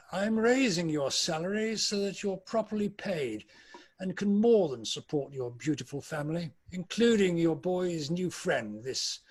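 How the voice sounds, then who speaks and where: A reformed old miser in a storybook drama, played by a man. His voice sits high for a man, and he speaks with halting, formal courtesy and a generous temper. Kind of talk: amateur table read of a classic story. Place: remote video call reading.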